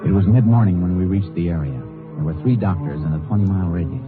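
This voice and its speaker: A deep, clipped Texas Ranger acted by a man speaks with steady authority, as in a mid-century radio crime western. He is terse and matter-of-fact. The sound is thin and narrow, an old broadcast with music around it. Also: quick pace, lightly acted. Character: steady, no-nonsense Texas Ranger investigator and narrator. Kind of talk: 1950s radio drama acting with terse first-person narration.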